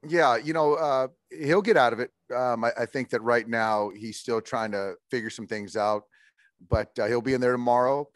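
A man in his forties, a baseball manager answering postgame press questions in a measured way, with many fillers and restarts, heard over a video call. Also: medium pitch, no echo. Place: indoors in front of a logo backdrop.

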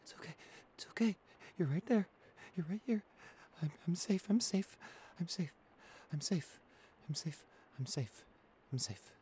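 A man playing a shy, anxious young boyfriend in an ASMR roleplay, speaking softly with hesitant stammers. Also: fairly high pitch, expressive, unhurried, hardly any pauses, a little hiss or hum. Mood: panicked. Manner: hesitant, stammering, soft-spoken. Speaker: audio roleplay performer.

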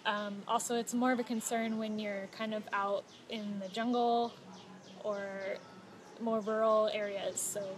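A woman in her thirties chatting casually on a phone livestream outdoors, relaxed and informative with fillers and small laughs. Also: mid-range voice, measured pace. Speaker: travel vlogger. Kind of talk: casual live chat. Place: outdoors at a home stay patio. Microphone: phone held close. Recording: outdoor noise behind.

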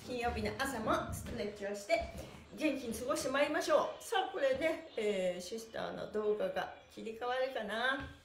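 A woman's voice: a little high, moderate pace. A middle-aged woman leading an upbeat stretching class in a cheerful, encouraging, polite way with sing-song counts. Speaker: stretching instructor and livestream host. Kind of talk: upbeat guided exercise class with chanted counts. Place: small bare indoor room. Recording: echoey room with faint noise.

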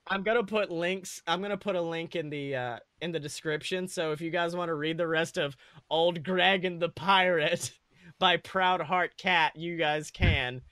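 Bright, higher-pitched man podcasting. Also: steady tone, moderate pace, no echo. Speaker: podcast co-host. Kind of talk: comedic fan fiction narration and casual chat.

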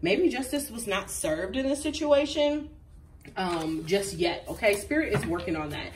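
A woman's voice: mid-range voice, lively intonation, moderate pace, far from the mic, a little hiss or hum, low-quality recording. A woman reading tarot cards to camera in a casual, chatty way, a little congested from a recent flu, with frequent ums and laughs. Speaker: tarot reader. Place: indoors at a table with crystals.

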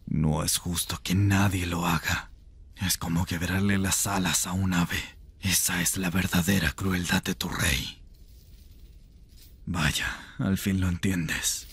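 A man voicing a chained rebel mage in an ASMR roleplay, intense, seductive and tender, with a breathy, gravelly voice. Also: sits low, a bit bright, full character voice. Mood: fervent, indignant.

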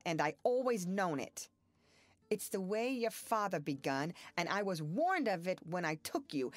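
Lady reading as a sour sickly farm wife, shrill and quavery, new twang, swings up and down a lot, dry close sound. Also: dry recording. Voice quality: shrill, trembling, accusing. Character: bitter, sickly middle-aged rural farm wife.

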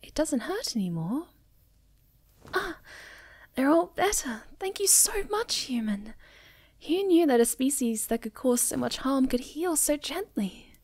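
A young woman playing a sweet, ditzy, shy moth girl in an ASMR roleplay, speaking softly and breathily with gentle stammers, often near a whisper. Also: high voice, big pitch swings, unhurried, no echo. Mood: flustered, shy, then grateful, sweet. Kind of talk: soft-spoken ASMR roleplay.

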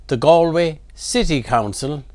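A man's voice: fairly high pitch, unhurried, darkish tone, no room sound. An Irish man in his sixties reading scripted documentary narration in a promotional, flowery style.

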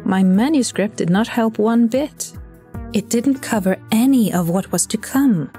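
Woman acting a flustered newcomer, female, anxious, rambling, dark soft tone, faint music, podcast drama. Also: fairly high pitch, measured pace, lightly acted. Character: socially awkward, flustered newcomer to Heaven narrating mishaps. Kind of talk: first-person audio drama monologue.

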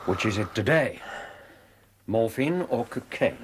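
A male actor playing an earnest, reproachful Victorian doctor with an English accent and a husky voice in a radio drama. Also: mid-range voice, lively intonation, a little slow, slight background noise, lightly acted. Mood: stern concern. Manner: earnest, formal.

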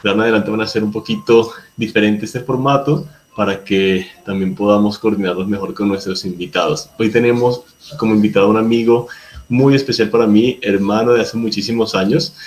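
Young guy host on a video call at home, friendly, lowish voice. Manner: friendly, upbeat, with filler words. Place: home room.

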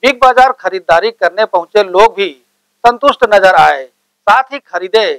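A man's voice: sits high, expressive, measured pace, a bit bright, dry recording, rough recording. A man anchor reading a scripted news intro in a formal announcer manner in a studio.

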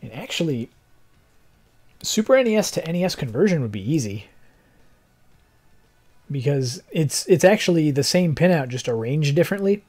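A young adult man who streams games, chatting casually in a rambling, technical way, with a slightly hoarse voice. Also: a little high, very animated, normal speed, pauses often, darkish tone. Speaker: game streamer. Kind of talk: casual livestream chat. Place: living room, seated in armchair indoors.

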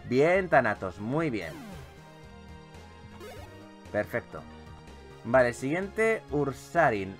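A man with a Spain accent giving playful, exclamatory gameplay commentary as a video host. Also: mid-range voice. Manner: animated, exclamatory, jokey. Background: video game music.

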